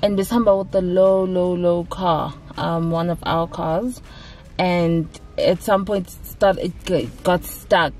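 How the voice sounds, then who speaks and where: A woman's voice: lowish voice, fairly even intonation, takes it slowly, a little mellow. A woman in her thirties with a South African accent, chatting casually and emphatically to camera in a car as a vlog host. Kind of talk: casual chat to camera with code-switching. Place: inside a car. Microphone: camera at dashboard distance.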